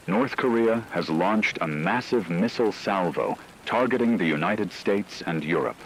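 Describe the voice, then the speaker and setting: A man playing a grave radio newsreader, reading a scripted breaking war bulletin in a solemn, measured, ominous way. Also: deep voice, slight background noise, rough recording.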